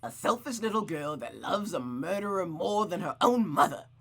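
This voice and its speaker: A woman voicing a cruel, domineering mother in a visual novel, with theatrical, drawn-out scorn. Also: lowish voice, lively intonation, gravelly, pitched above the performer's own voice. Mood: scornful fury.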